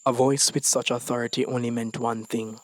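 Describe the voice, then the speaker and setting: A man reading a short story as scripted dramatic narration, with deliberate phrasing and dramatic pauses. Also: mid-range voice, little pitch movement, no room sound. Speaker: story reader.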